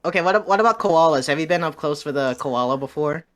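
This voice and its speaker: A man casually interviewing a friend, relaxed and joking, rambling with fillers. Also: on the high side, fast talker. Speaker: guest interviewer, podcast host.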